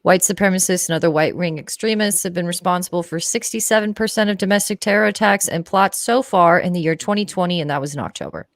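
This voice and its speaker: A woman's voice: on the low side, quick pace, few pauses, no echo, clean studio sound. A woman giving casual, conversational commentary, incredulous and dismissive, with some swearing.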